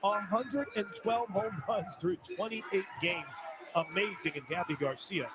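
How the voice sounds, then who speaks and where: High-voiced male play-by-play announcer. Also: a little slow, background noise. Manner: upbeat, stat-heavy, polished. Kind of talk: broadcast sports commentary.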